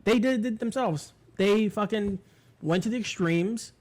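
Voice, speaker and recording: A man's voice: high voice, a little slow, a little mellow. A man from New York co-hosting a podcast, talking in a rambling, opinionated way with swearing and restarts. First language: English. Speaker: podcast co-host. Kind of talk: casual chat.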